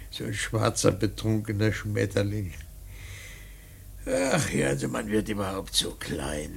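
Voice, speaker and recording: An old painter in mourning for a radio play, bitter and rambling. His voice is husky and crackles with gravel. He speaks slowly and haltingly, with heavy emphasis that swells out of murmurs. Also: fairly low pitch, full character voice. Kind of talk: theatrical German radio drama monologue.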